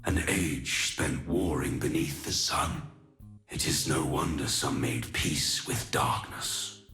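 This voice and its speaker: An actor playing an ancient, burdened and resolute fallen god warrior in a video game, speaking in grave, formal, deliberate lines. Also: male voice, rumbling low, pushed and bright, soft background music, heavily acted. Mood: solemn, mournful. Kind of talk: dramatic scripted game voice lines.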